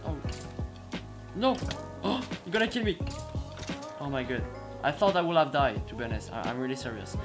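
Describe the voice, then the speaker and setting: A young man who is not a native English speaker, excitedly commentating his own gameplay from a headset in a small room, stumbling and exclaiming often. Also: a little high, normal speed, pauses often, music in the background. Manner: excitable, hesitant, frequent exclamations. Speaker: gaming channel host.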